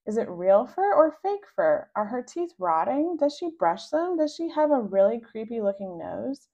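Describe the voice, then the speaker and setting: A woman voicing an excitable, pesky little girl who fires off eager questions. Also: very high-pitched, lo-fi sound, pitched above the performer's own voice, heavily acted. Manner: rapid-fire eager questioning.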